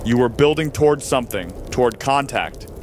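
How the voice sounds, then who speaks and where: A man's voice: medium pitch, expressive, moderate pace, a little mellow, a little hiss or hum, natural voice. A man playing an earnest, attentive interviewer in an audio drama, probing with short questions. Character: earnest, attentive male time-traveling interviewer. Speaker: interviewer host.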